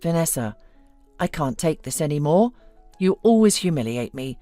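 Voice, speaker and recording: A woman's voice: low voice, sing-song, moderate pace, dark tone, no echo, soft background music, pitched below the performer's own voice. Casting: woman narrator as humiliated meek husband in audio story, trembling and pleading, dry recording. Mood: hurt, resolute. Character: timid, resentful, long-humiliated husband, adult man.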